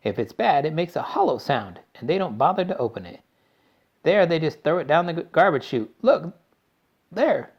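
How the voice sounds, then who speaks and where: A man voicing an eccentric male candy-factory owner, brisk, bossy and theatrical. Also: fairly high pitch, sing-song, a little mellow, breathy edge, lo-fi sound, pitched above the performer's own voice, exaggerated voice. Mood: explaining. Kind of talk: audiobook character voice.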